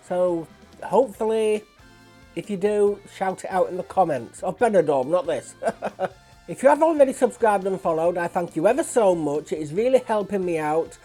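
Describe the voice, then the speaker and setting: A woman's voice: medium pitch, warm tone. A woman hosting a reaction video with a blocked nose, chatting casually and apologetically to viewers. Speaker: reaction channel host.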